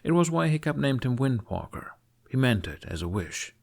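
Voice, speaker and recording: A man reading a scripted fantasy story aloud in an expressive audiobook style. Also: mid-range voice, normal speed, gravelly. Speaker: fan fiction narrator.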